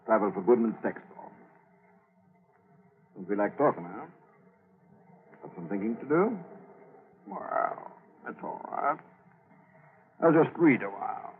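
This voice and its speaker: A man's voice: fairly low pitch, expressive, measured pace, pauses often, a little hiss or hum. An actor playing a chatty, pushy travelling salesman, a jolly folksy pest who talks in clipped phrases and repeats himself, in 1940s radio style. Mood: chummy, pushy. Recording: thin, phone-like 1940s radio recording.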